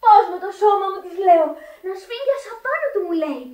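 A woman's voice: very high-pitched, lively intonation, darkish tone. An actress playing an eager, lovestruck teenage girl in a Greek radio drama, girlish and impulsive. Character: eager, lovestruck teenage Italian-immigrant girl, pleading daughter. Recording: roomy, distant old radio recording.

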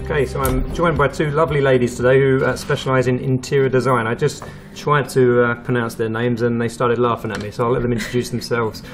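A man hosting a radio property show, chatting casually with guests and often pausing on fillers and restarts. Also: mid-range voice, little pitch movement, over music. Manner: hesitant, many fillers and restarts.

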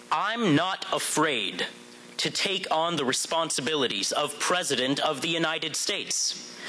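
A young man reads a victory speech like a candidate at a podium. His voice is fairly high and forceful, declaimed for the room. A little noise sits in the live recording. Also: low-quality recording. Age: young adult. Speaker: student actor reading speeches. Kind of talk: dramatic reading of political victory speeches. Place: indoor room before an audience. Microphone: podium microphone.

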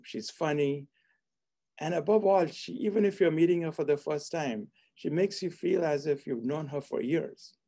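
Middle-aged man with a mellow voice. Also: on the high side. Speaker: host, hospitality school dean. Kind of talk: formal online event introduction and interview. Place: book-lined office indoors. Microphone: video call, seated at computer.

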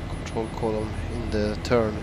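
A man with a Swedish accent talking casually and haltingly while flying a flight simulator at home. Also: on the low side, monotone, heavy background noise. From Sweden. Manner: halting, thinking aloud with restarts. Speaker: flight sim hobbyist. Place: home room with simulator cockpit.

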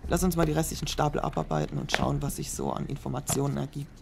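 A man's voice: medium pitch, very animated, fast talker, gravelly, background noise. A young man playing a cautious student who reads old notes aloud, in an audio drama. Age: young adult. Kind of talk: amateur audio drama acting.

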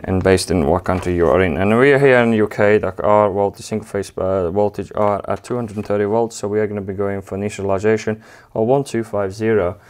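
Man, lowish voice, hesitant hands-on explainer recorded in a workshop. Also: a little mellow. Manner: halting, many fillers and restarts, plain explanatory tone. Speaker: technician demonstrating a drive. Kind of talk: hands-on tutorial. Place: workshop with wooden wall panel, indoors.

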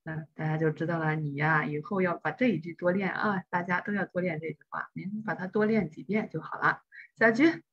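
A woman's voice: fairly low pitch, fairly even intonation, brisk, husky, dull audio. A woman teaching English in an online class, explaining patiently and step by step.

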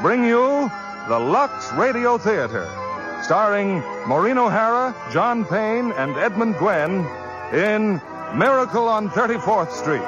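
A man's voice: high-pitched, very animated, slow. A man announcing in a declamatory 1940s radio style. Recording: muffled old radio broadcast, loud music.

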